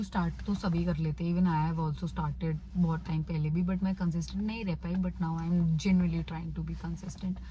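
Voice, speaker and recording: A woman's voice: lowish voice, darkish tone, slight background noise, rough recording. A young woman in her twenties with an Indian accent, mixing Hindi and English as she casually answers viewer questions in a vlog. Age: 20s. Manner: switches between Hindi and English. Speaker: YouTuber.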